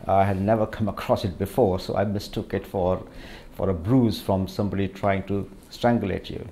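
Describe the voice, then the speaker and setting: A middle-aged man from Pakistan, a surgeon speaking hesitantly and reflectively with many pauses in a documentary interview. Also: low-pitched, steady tone, mellow, slight background noise. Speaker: hand surgeon.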